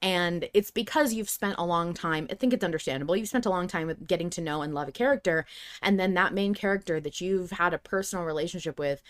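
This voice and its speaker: Female podcast co-host, lowish register, casual and emphatic, anecdotal delivery. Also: fast talker.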